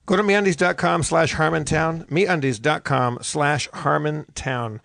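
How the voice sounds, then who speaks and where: A man's voice: fairly high pitch, steady tone, measured pace, vocal fry, fairly bright tone, no room sound, clean studio sound. A man co-hosting a show, improvising his way playfully through a conversational comedic sponsor read. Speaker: show co-host reading sponsor ad.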